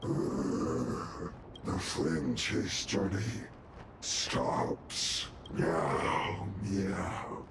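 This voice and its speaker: A man voicing a slow, menacing video game character who makes portentous pronouncements in a gravelly voice. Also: low voice, steady tone, reverberant, heavy background noise, heavily acted. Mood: ominous, deadpan.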